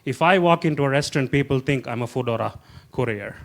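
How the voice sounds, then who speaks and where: Man, council candidate on panel, mid pitch, emphatic, rhetorical punch. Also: fast talker. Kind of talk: political panel speech.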